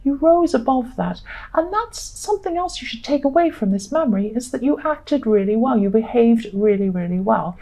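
A woman tarot reader with a fairly high, soft-edged and mellow voice. She talks to the listener conversationally and reassuringly, circling back over her points as she explains the cards. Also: animated, moderate pace, poor audio. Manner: repetitive, reassuring.

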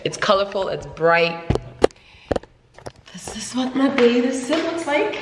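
A woman's voice: medium pitch, background noise. Chatty woman in her twenties, echoey room. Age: 20s. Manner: playful, chatty, drawn-out emphasis. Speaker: vlogger. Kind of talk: casual vlog to camera. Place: hotel room, indoors. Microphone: camera held at arm's length.

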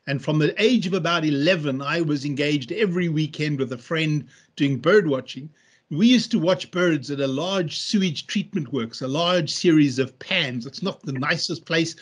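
A middle-aged man with a South African accent, a veterinary school head, explaining steadily over a video call. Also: a little high. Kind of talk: measured interview answers.

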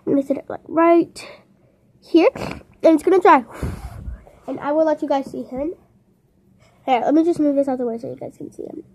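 A woman's voice: high voice, brisk, long pauses, mellow. A young girl hosting a casual craft vlog from a bedroom floor, chatty and rambling as she talks straight to her viewers through a camera set on the floor. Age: child. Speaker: channel host. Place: small carpeted bedroom, seated on floor. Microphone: camera on the floor nearby.

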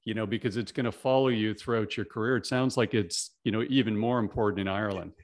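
A man's voice: on the low side, steady tone, fast. Canadian man hosting a sales podcast. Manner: friendly, talks with many "you know" fillers. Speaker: podcast host. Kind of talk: casual interview chat. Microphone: video call, desk mic.

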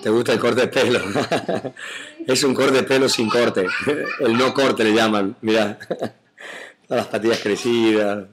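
A man's voice: mid-range voice, fairly even intonation, measured pace, long pauses, background noise. Chatty man with Argentine-Mexican accent. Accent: Argentine Spanish mixed with Mexican Spanish. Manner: chatty, rambling, with frequent fillers and soft laughs. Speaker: actor doing a live webcam chat. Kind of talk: casual live-stream chat reading viewer comments. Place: his home.

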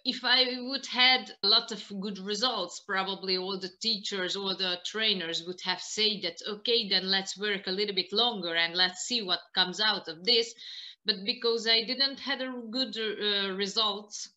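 A woman in her thirties with a Hungarian accent, answering interview questions in halting non-native English on a video call. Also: mid-range voice, fairly even intonation, sharp tone. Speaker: interview guest, martial arts practitioner. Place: small living room indoors, on a video call.

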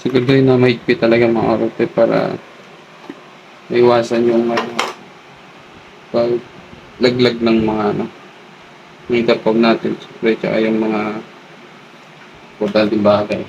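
A man with a Filipino accent giving a casual hands-on demo, haltingly thinking aloud as he works. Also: mid-range voice, completely flat delivery, lo-fi sound. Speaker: motovlog host. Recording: distant mic with clear background noise.

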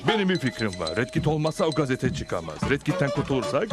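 A man's voice: medium pitch, sing-song, fast talker, loud music behind the voice, close to an everyday voice. A man voicing a menacing, cocky outlaw gang boss in a Turkish cartoon dub, plotting and bossy. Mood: sly. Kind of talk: Turkish-dubbed cartoon villain dialogue.

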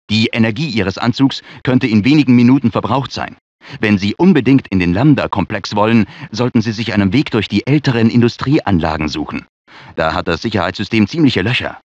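An actor playing an anxious research scientist in a dramatic video game, speaking in a fretful, earnest, theatrical manner. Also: male voice, lowish voice, dry recording. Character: anxious male research scientist in a sci-fi disaster. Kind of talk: dramatic game character dialogue, German dub. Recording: dull lo-fi game audio.

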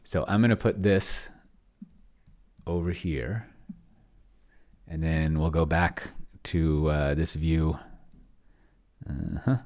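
Very deep, gravelly middle-aged man thinking aloud. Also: fairly even intonation, slow, pauses often, darkish tone. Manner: rambling, thinking aloud, trailing off into mumbles. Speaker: host of a tabletop roleplaying experiment stream. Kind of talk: casual, unscripted livestream commentary.

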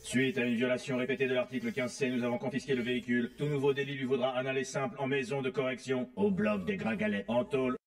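Man playing a gravelly, officious cartoon robot policeman. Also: medium pitch, fast talker, few pauses, lightly acted. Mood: stern, threatening. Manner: officious, formal, clipped. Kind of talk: animated film dubbing.

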